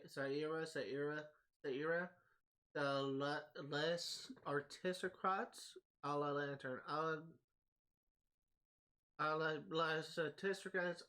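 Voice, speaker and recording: A man reading in-game text aloud on a gaming livestream, haltingly, often stumbling over words and names. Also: on the high side, a bit bright. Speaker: livestream host.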